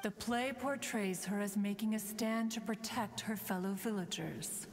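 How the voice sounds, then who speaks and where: A woman's voice: mid-range voice, a little slow, lightly acted. A woman voicing an ancient, dignified female immortal in a video game, formal, stately and aloof. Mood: musing. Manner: formal, stately, refers to self as one. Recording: long hall reverb, hiss.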